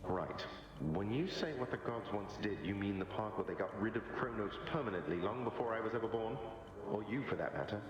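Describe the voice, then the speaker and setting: A man's voice: on the low side, brisk, lightly acted. A man voicing a wry, easygoing young underworld prince in a video game, with a sardonic, casual manner. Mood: skeptical, wry. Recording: long echoing reverb with music behind.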